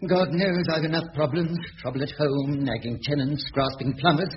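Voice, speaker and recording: An actor playing a pompous, long-suffering middle-aged manager with an English accent in a radio sitcom. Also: male voice, a little high, normal speed, natural voice. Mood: self-pitying. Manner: pompous, officious, self-pitying. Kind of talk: British radio sitcom acting. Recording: muffled, noisy old radio recording.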